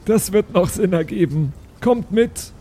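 A man's voice: sits high, animated, unhurried, dark tone, slightly rough. A man chatting casually as a player in an improvised role-playing game, often thinking aloud.

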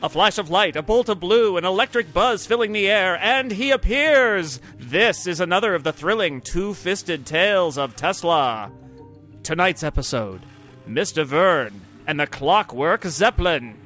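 A man's voice: high voice, expressive, unhurried, sharp tone, soft background music, heavily acted. A man playing the punchy, melodramatic announcer of an old-time radio adventure serial. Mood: thrilling, dramatic. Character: breathless, thrilling male announcer of pulp adventure serial.

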